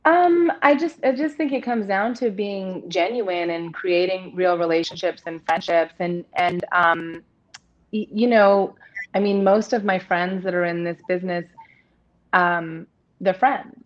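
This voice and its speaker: A woman in her thirties with a deep voice, speaking openly and at length as an interview guest, with many restarts. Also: a little slow. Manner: open, rambling, restarts often. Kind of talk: candid personal conversation. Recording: dull lo-fi audio.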